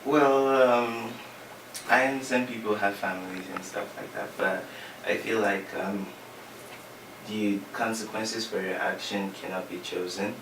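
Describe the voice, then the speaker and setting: A man's voice: lowish voice, steady tone, a little slow. A man being interviewed, giving his opinion hesitantly with many fillers. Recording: distant mic, echoey room, background noise.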